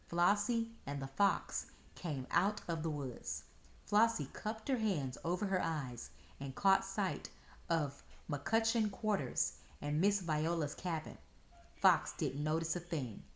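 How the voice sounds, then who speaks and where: A woman reading a children's story aloud in expressive narration. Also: sits low.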